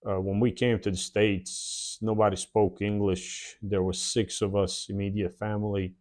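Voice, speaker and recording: A man in his forties from the former Yugoslavia, with a Slavic accent and a Southern drawl, telling his own story plainly with many "uh" pauses in his shed workshop. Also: lowish voice, little pitch movement, darkish tone. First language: a South Slavic language. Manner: plain, unhurried, frequent "uh" pauses. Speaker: channel host. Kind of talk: casual personal storytelling.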